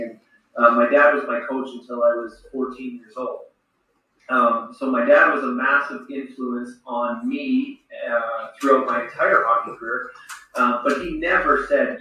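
A middle-aged man from Saskatchewan, a retired hockey player slowly answering children's questions with a reflective manner and restarts. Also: mid-range voice, sharp tone. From Saskatchewan, Canada. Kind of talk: thoughtful Q&A with children. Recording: roomy, echoey sound from far off.

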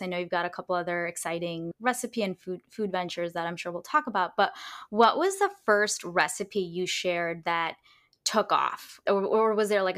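A woman's voice: fairly low pitch, fairly bright tone. Woman hosting a podcast interview. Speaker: podcast host.

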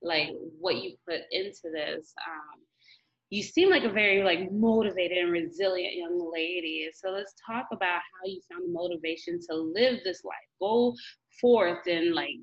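A woman's voice: fairly low pitch, normal speed, muffled recording. A woman hosting a casual interview, upbeat and encouraging, with lots of likes and slang. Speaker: interviewer, podcast host.

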